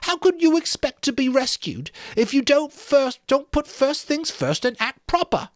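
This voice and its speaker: A man acting out a high-pitched, whining schoolboy from an audiobook novel. He sounds wronged and anxious, nagging about rules in rough grammar, his voice swinging up and pressing loud with indignation. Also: big pitch swings, normal speed, no echo, studio quality. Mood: furious scolding. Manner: indignant, hurt, reproachful, ungrammatical. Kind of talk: dramatic audiobook reading.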